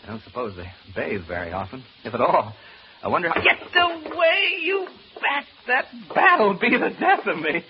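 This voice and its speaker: An actor playing a nervous, rambling radio broadcaster alone in a haunted house, stammering through asides to listeners in an old radio horror play. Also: male voice, fairly low pitch, very animated, fast talker. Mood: nervously giddy. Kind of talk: old-time radio horror drama acting. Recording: muffled old radio recording, background hiss.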